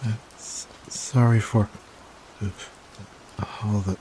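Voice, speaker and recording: A man playing a timid, gentle young catboy in an ASMR roleplay, speaking hesitantly with stammers. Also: lowish voice, takes it slowly, low-quality recording. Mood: relieved, apologetic. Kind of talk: soft-spoken ASMR roleplay. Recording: steady rain noise behind voice. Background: rain.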